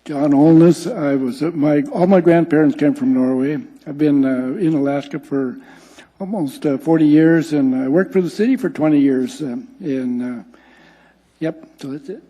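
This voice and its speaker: A man in his sixties giving a halting, filler-heavy self-introduction at a public meeting. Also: a little high, dark tone. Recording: reverberant chamber, off-mic.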